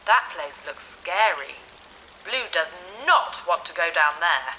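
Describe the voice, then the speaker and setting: Casting: excitable, childlike spaceship AI, blunt and naive with a villainous streak, very animated, sci-fi audio drama, faint ambient noise. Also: female voice, sits low, slow pace, full character voice, rough recording. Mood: sulky. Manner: refers to itself by name, naive, blunt.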